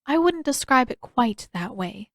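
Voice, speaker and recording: A woman voicing a gentle, wistful young princess in a storybook reading, speaking politely in measured, formal phrases. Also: high voice, very animated, no room sound, clean studio sound, pitched above the performer's own voice, heavily acted. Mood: reserved, regretful.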